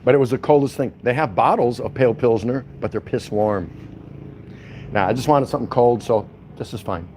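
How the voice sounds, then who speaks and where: A man's voice: mid-range voice, brisk, a little mellow. Guy livestreaming his walk, loose slangy rambling chat, kinda folksy, some traffic noise behind him. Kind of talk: casual chat to viewers. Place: on a walk around a city, stopping at a convenience store. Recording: street and vehicle noise behind. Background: traffic and ambient noise.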